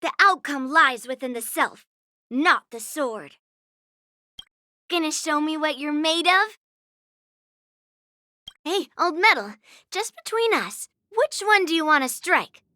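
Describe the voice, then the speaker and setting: A woman voicing a spirited, confident young girl swordswoman in a video game, brash and expressive. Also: high voice, takes it slowly, pauses often, no echo, studio quality, exaggerated voice. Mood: cocky, playful. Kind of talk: video game character voice lines.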